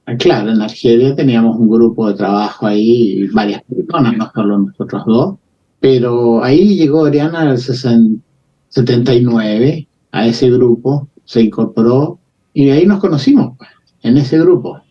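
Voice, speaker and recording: An older man from Chile with a Chilean accent, an agronomist telling his story in a measured, reflective way with restarts. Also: mid-range voice, breathy edge. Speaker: interview guest, agronomist. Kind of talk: casual storytelling. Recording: dull lo-fi audio.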